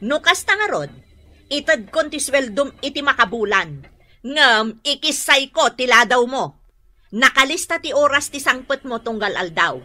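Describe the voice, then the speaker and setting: A woman's voice: sits high, big pitch swings, measured pace, pushed and bright, exaggerated voice. A woman playing a strict, fed-up laundry shop boss in a radio drama, with an Ilocano accent and an emphatic manner. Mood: irritated. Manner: nagging, emphatic.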